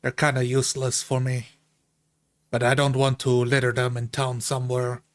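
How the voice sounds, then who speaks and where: A man's voice: mid-range voice, monotone, moderate pace. A man playing a tabletop fantasy game, casually improvising his character's actions and lines in the first person. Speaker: tabletop roleplay player.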